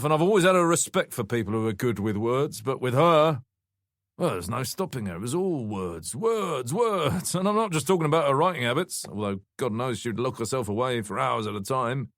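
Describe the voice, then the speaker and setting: A man's voice: medium pitch, fairly bright tone, no room sound, clean studio sound, pitched above the performer's own voice. A man voicing a brash, raffish rich man in his fifties, breezy, boastful and bluntly scornful, in an audiobook story.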